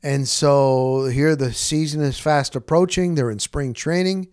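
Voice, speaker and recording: A man with a slightly high-pitched voice speaks as a podcast host. His delivery is conversational and rambling, and he leans on repeated phrases for emphasis. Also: measured pace, darkish tone, smooth. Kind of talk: casual conversational podcast introduction.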